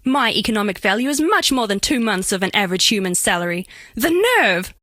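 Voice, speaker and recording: A woman's voice: medium pitch, fairly quick, pushed and bright, dry recording, studio quality, natural voice. A woman voicing a bashful, flirtatious female alien made of living diamond in a video game, speaking in precise, oddly formal, earnest phrases. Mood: flirty, earnest. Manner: precise, stilted formal diction, earnest, coyly playful. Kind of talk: scripted game character voice lines.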